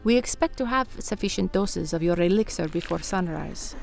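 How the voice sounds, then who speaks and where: Creaky, servile vampire minion. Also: male voice, sits high, lively intonation, normal speed, soft background music. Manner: deferential, formal. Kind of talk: radio play acting.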